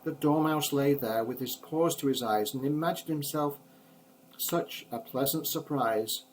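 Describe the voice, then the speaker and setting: An older man, a grandfather, reading children's poetry aloud in an expressive, rhythmic, measured storybook manner. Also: medium pitch, slight background noise, low-quality recording.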